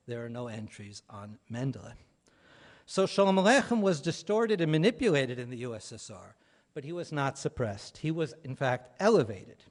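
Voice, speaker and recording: A man speaking as an academic at a conference, delivering a prepared lecture in measured phrases with firm stresses and trailing endings. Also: medium pitch, big pitch swings.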